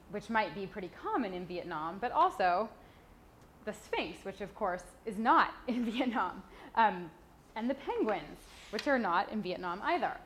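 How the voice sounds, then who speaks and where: Animated woman explaining, lively pitch. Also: a little hiss or hum. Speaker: book publishing expert presenting a picture book. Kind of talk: informal explanatory talk and storybook reading aloud.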